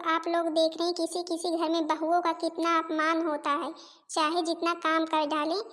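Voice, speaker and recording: A woman's voice: very high voice, fairly bright tone. A woman voicing put-upon Indian daughters-in-law in a family comedy cartoon, with a complaining, pleading manner. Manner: complaining, pleading, addresses relatives and viewers directly.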